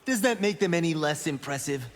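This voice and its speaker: Casting: a proud working-class brawler for a fighting game, animated and confrontational, long reverb, faint noise. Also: male voice, a little high, a little fast. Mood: earnest, defiant. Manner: challenging, earnest. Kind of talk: video game character acting.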